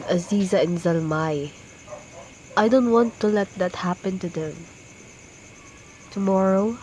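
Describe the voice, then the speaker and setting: A woman calmly reading dramatic dialogue in a student radio play. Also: on the low side, a little hiss or hum, rough recording. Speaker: student voice actor. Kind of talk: amateur radio drama acting.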